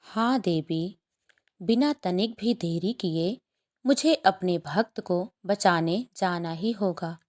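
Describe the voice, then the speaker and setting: A woman voicing a calm, solemn male god with a measured, formal manner, in a mythological cartoon story. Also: on the low side. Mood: calm resolve.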